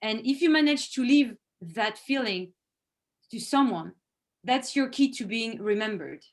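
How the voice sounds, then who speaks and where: A woman's voice: on the high side, lively intonation, a little slow. A woman, a head of sales, giving an earnest presentation over a video call. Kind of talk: virtual presentation. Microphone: video call microphone.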